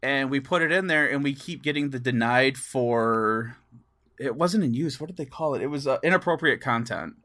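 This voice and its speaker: A man's voice: mid-range voice, moderate pace, bright, forceful tone. A man co-hosting a podcast, telling stories in casual gaming chat, chuckling and acting out what people said. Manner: chuckles through stories, acts out quoted dialogue. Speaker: podcast co-host.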